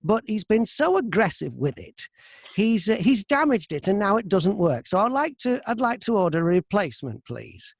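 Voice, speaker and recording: A man's voice: high voice, big pitch swings, normal speed, vocal fry, dry recording, studio quality, voice pushed up, full character voice. A man voicing a confused, insistent old man who rambles earnestly on a radio prank call. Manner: rambling, repetitive, earnest complaining.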